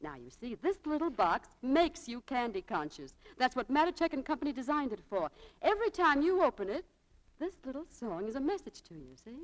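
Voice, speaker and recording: A woman's voice: high voice, sing-song, a little fast, poor audio. A woman playing a chatty, spirited young saleswoman in a 1940s radio drama. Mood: eager, persuasive. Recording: old 1940s radio broadcast.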